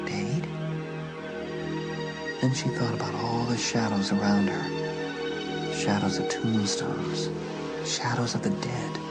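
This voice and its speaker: A man's voice: on the low side, fairly even intonation, slow pace, long pauses. A man narrating a horror audiobook in a suspenseful, dramatic storytelling manner. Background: loud music.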